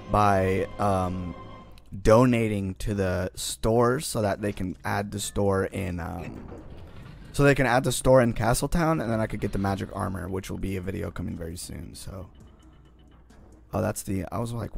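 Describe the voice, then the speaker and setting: Young guy with a gravelly morning voice narrating his gameplay, grumbles at the game, quiet game music behind him. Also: lowish voice. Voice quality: raspy. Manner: easily frustrated, groaning and complaining at the game. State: raspy early-morning voice. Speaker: gaming channel host. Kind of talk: casual let's-play commentary.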